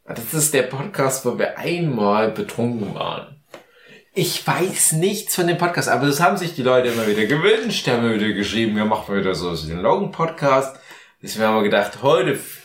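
A man's voice: medium pitch, echoey room. A drunk man co-hosting a podcast, cracking jokes and giving mock advice in an ironic tone. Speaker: podcast co-host. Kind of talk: casual drunken podcast banter.

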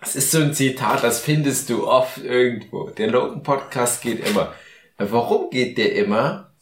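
A tipsy man co-hosting a podcast, loose and joking, handing out mock tips with a sly, ironic edge. His voice sits at an ordinary middle pitch. It rings with a roomy echo. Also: animated, normal speed, slight background noise. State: drunk. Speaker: podcast co-host. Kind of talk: casual drunken podcast banter.

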